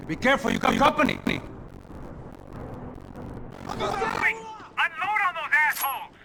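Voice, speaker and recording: A man's voice: very high voice, sing-song, fast, vocal fry, some chatter behind, exaggerated voice, low-quality recording. An actor playing an urgent, streetwise gunman partner in a video game, talking in an informal, pressed way. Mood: urgent shouting. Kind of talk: video game cutscene acting. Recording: loud action noise and music behind.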